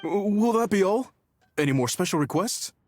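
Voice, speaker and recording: A man voicing a genial, proud male restaurant chef, hospitable and quick to chuckle. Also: high voice, animated, faint music behind.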